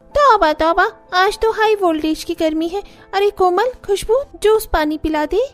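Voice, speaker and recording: A woman puts on a meddling neighbourhood auntie for a cartoon. The voice is extremely high, and she chatters and bosses like a busybody. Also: unhurried. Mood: chatty.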